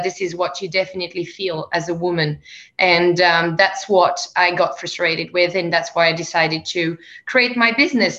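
A woman with a French accent talks through a casual interview, pausing often with ums and uhs. Her voice is bright and forceful, and the recording sounds slightly dull and lo-fi. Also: lowish voice. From France. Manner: hesitant, many ums and uhs. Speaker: guest.

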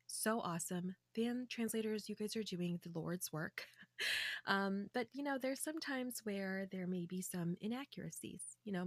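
A woman with a low-set voice hosting a solo podcast. She talks through prepared commentary in a chatty way and tosses in casual asides. The sound is close and dry. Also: dry recording. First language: English. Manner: chatty, with asides and light laughs. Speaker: podcast host.

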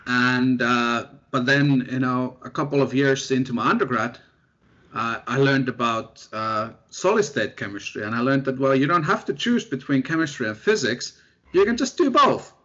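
A young man from Finland, a researcher, chatting in an interview in a relaxed, self-deprecating way with lots of fillers. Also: mid-range voice, normal speed. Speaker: guest researcher. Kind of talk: casual interview chat. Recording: dull lo-fi sound.

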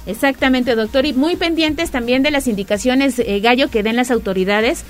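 A woman's voice: high voice, moderate pace, faint music behind. Upbeat radio co-host woman. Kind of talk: morning radio hosting.

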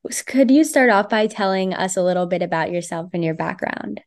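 A woman hosting a podcast interview, asking friendly questions in a steady tone. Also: mid-range voice, brisk. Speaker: podcast host.

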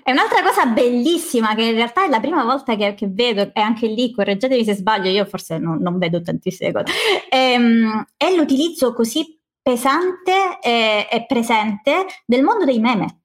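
A woman hosting a casual film discussion, explaining at length in an enthusiastic, halting way. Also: on the high side, expressive. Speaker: podcast host.

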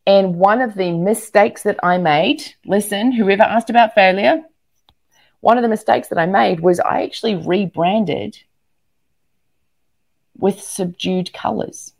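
A woman's voice: mid-range voice, a little slow, creaky. A woman talking energetically as an interview guest, emphatic and often repeating words for emphasis. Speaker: guest, entrepreneur and speaker. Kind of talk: animated interview talk to a live online audience.

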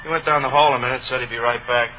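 A medium-pitched, resigned police sergeant played by a male actor, chatting in casual, clipped phrases. It is old radio crime drama, with clear noise on the vintage recording. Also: steady tone, rapid-fire, muffled recording, close to an everyday voice. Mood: resigned, chatty. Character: weary, resigned married male police sergeant on night duty.

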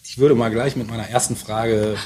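A man's voice: mid-range voice, steady tone, moderate pace, a little mellow, a little hiss or hum. A man in his forties hosting a casual podcast, rambling and hesitant with many fillers. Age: 40s. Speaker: podcast host. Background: faint music.

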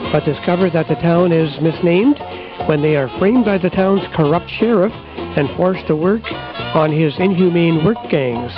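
A man hosting an old-time radio program, reading a scripted, welcoming introduction. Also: a little high. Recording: muffled sound with music behind.

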